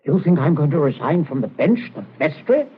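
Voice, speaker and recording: A man's voice: fairly high pitch, sing-song, brisk, husky, full character voice. An actor playing a stern, pompous middle-aged father who snaps orders in a 1940s radio thriller. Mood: agitated, indignant. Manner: pompous, scolding, clipped orders. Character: stern middle-class father, pompous, snappish, obsessed with respectability. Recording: tinny old 1940s radio broadcast.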